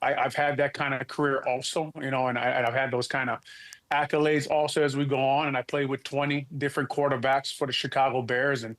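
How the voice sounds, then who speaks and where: A middle-aged man from Hawaii, a former football player, talking in a relaxed, halting way as an interview guest. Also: medium pitch. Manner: easygoing, halting, many restarts. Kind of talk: casual interview talk.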